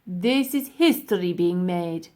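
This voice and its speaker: Lady doing a pompous old man professor, drawn-out grand words, scornful, very animated, storybook style. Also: lowish voice. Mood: boastful.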